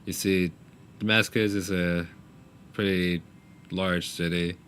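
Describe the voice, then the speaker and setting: A man with a deep voice leads a tabletop roleplaying game. He talks in a low-key, casual way with halting phrasing. Faint noise sits behind him. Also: fairly even intonation, a bit bright. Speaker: game master.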